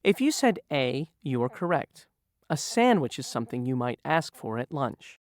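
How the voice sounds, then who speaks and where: Lively quiz reader going through review questions, bouncy intonation, dry close sound. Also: medium pitch, normal speed, no room sound. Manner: poses a choice, then confirms the right answer. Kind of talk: lesson quiz narration.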